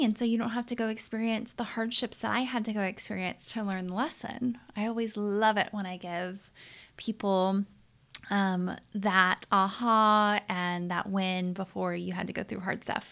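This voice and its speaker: A woman's voice: mid-range voice, steady tone, normal speed, a bit bright. A woman in her thirties hosting her own podcast, talking candidly and conversationally into a studio mic. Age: 30s. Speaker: podcast host and leadership coach. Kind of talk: solo podcast monologue.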